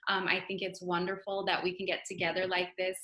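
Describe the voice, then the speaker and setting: A woman speaking as a guest on an online panel, soft-spoken and gracious, with frequent small pauses. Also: on the low side, completely flat delivery, reverberant.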